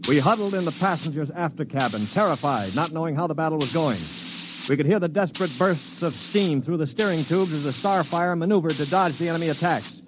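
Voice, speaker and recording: A man's voice: a little high, animated, fairly quick, background noise, lightly acted. A man playing a cynical, level-headed former space pilot in a 1950s radio drama, with a clipped, matter-of-fact, sardonic delivery. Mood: grim recounting. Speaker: narrator and lead actor. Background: dramatic music.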